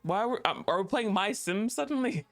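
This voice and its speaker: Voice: mid-range voice, lively intonation, normal speed, soft background music. A game streamer giving casual, playful livestream commentary, reacting aloud to the game and chatting with viewers.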